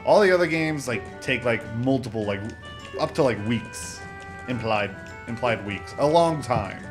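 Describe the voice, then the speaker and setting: A man's voice: medium pitch, unhurried. Rambling young man narrating gameplay. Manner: rambling, excitable, lots of fillers and self-corrections. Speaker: gaming channel host. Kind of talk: casual let's-play commentary. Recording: game music playing behind voice. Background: video game music.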